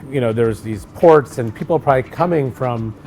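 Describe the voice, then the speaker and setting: A middle-aged man in casual conversation, an actor talking loosely and relaxed, with many fillers and restarts. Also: mid-range voice, a little fast, soft background music. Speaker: actor co-hosting a chat.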